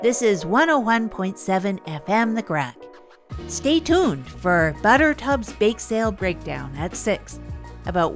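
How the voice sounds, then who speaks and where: A woman playing an awkward, rambling self-help podcast host in a comedy audio drama, hesitant and often repeating themself. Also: mid-range voice. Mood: on-air patter. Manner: hesitant, repetitive, flustered.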